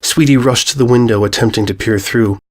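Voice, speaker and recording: A man's voice: mid-range voice, brisk. A man giving a scripted narration of a horror fan fiction story.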